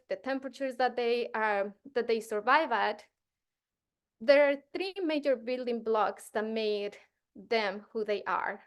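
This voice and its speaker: A woman from Ecuador in her thirties presents a science workshop for teachers. Her voice sits a little high, and she talks casually, with many ums, uhs and restarts. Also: smooth. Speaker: postdoctoral researcher presenting to teachers.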